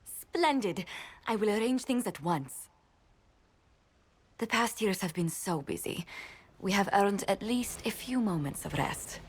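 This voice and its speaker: A woman's voice: medium pitch, lively intonation, a little slow, long pauses, husky. An actress playing a refined, earnest young woman diplomat in a video game, speaking in formal, polite phrases. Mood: delighted.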